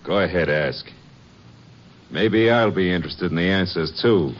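An actor playing a controlling, heavy-browed rancher who speaks curtly, in a 1950s radio drama. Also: male voice, sits low, moderate pace. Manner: curt, controlling. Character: domineering heavy-browed rancher father, gruff. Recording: muffled old radio broadcast with hiss.

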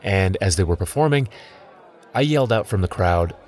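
A man's voice: low-pitched, animated, normal speed, darkish tone. A man in his thirties, a podcast host telling a personal story in scripted documentary narration.

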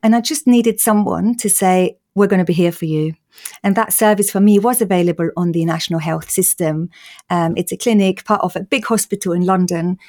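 A woman's voice: on the low side, mellow. A woman in her forties from Austria, with an Austrian accent, speaking openly and earnestly as an interview guest. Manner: earnest, open, quick-flowing. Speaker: guest, patient advocate. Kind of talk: candid interview conversation.

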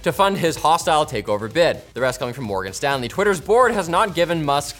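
A man's voice: on the high side, big pitch swings, fairly quick, a bit bright, soft background music. A man hosting tech news, reading a script with playful, sarcastic asides.

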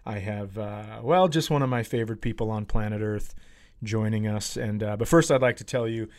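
A man's voice: on the low side, normal speed, a little mellow. An American man, a comedian and podcast host, talking in a casual, rambling, joking and sometimes profane way.

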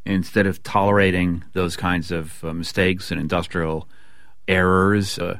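A man's voice: low voice, little pitch movement, a little slow, a bit bright, dry recording, studio quality. A man hosting a broadcast interview, putting questions and comments to guests. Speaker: interview host.

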